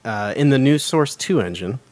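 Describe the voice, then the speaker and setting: A man's voice: medium pitch, lively intonation, normal speed, darkish tone, dry recording. A man hosting a casual gaming podcast, playful and announcer-like as he introduces his co-hosts. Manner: playful announcer-style host. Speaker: podcast host.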